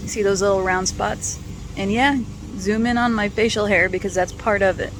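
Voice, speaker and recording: Hoarse woman vlogging over traffic noise. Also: mid-range voice, fairly even intonation, low-quality recording. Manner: halting, with frequent ums and restarts. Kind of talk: casual personal vlog.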